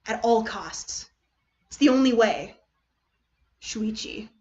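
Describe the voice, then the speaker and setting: Woman reading as a scheming, high-voiced cynical teenage boy. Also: lively intonation, slow pace, a little mellow, highs cut off. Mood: desperate, intense. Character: scheming, cynical teenage boy writing unhinged secret notes.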